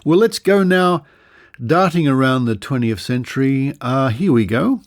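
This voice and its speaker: Gravelly Australian man reading aloud. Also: medium pitch, animated, unhurried. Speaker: podcast presenter. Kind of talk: reading old newspaper articles aloud with asides.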